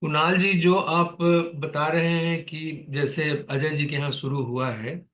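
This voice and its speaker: A man chairing an online meeting, calmly explaining instructions to staff over a video call. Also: on the high side. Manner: measured, instructive. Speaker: senior organizer chairing a meeting. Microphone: computer or phone on a video call.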